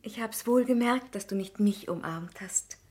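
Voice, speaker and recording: An actress playing a devoted, perceptive young sister in a German radio drama, speaking in a caring, gently probing way. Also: female voice, mid-range voice, normal speed, lightly acted. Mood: concerned, wounded.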